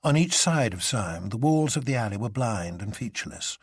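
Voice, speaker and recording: A man narrating a literary novel as a dramatic audiobook, in an expressive, measured storytelling manner. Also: mid-range voice, breathy edge, no echo, clean studio sound.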